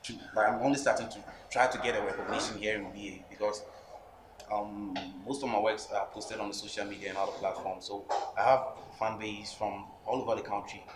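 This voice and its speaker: A man's voice: on the low side, fairly even intonation, fairly quick. A young man in his twenties with a Ghanaian English accent, a rapper answering interview questions earnestly, often restarting his phrases. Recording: echoey room with background noise.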